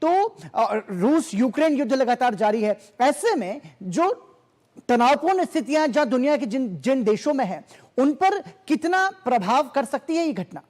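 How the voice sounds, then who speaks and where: A man's voice: extremely high pitch, sing-song, moderate pace, a little mellow. A man anchoring a formal news interview, putting long, wordy questions to a guest. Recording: echoing hall-like reverb.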